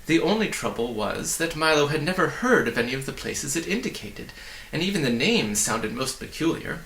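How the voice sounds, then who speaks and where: A man reading a children's story aloud in an expressive, deliberate way, with friendly asides to the listener. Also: on the high side, roomy sound, poor audio. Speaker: storybook reader.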